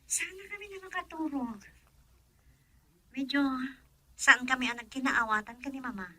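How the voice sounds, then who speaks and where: High-voiced lovestruck daughter. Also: female voice, animated, moderate pace, bright, forceful tone, dry recording, heavily acted. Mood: tender, troubled. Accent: Ilocano. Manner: pleading, emotional, melodramatic. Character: young woman in love, defiant daughter. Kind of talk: radio drama acting in Ilocano.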